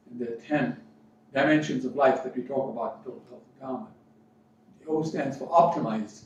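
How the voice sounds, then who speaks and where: A man's voice: mid-range voice, a little mellow. Man preaching in a very echoey room. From Fiji, educated in New Zealand. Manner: deliberate, preaching, with emphatic repetition. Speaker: life coach and spiritual speaker. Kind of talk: motivational spiritual talk. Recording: very echoey room, distant mic, dull sound, faint noise.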